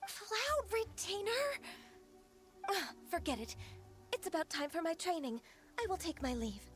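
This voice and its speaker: A woman voicing a shy, gentle, lonely young half-human creature in a video game, soft-spoken and reserved. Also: high voice, lively intonation, normal speed. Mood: embarrassed, flustered. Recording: loud game music behind the voice.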